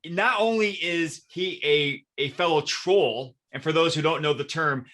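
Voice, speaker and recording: A man's voice: on the high side, measured pace, a bit bright. A man with an American accent hosting a podcast interview in an enthusiastic, upbeat conversational style. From Michigan, United States. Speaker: podcast host.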